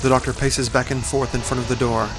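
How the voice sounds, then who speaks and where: A man narrates a scripted audio drama. His delivery is quick and flat in melody, at a middle pitch, with clear music behind him. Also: low-quality recording.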